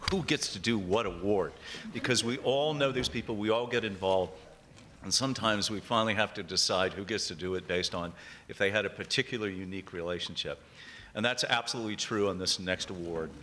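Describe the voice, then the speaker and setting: Hoarse low-voiced man at a ceremony. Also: sharp tone, a little hiss or hum. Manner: friendly, self-deprecating, slow-paced. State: losing his voice. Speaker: town council member introducing awards. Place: theatre stage at an awards ceremony.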